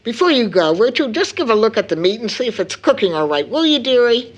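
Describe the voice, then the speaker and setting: A woman's voice: on the high side, very animated, fast. A woman acting a tired, loving, anxious mother of two in an audio drama, with tender motherly endearments. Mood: fond, gently busy.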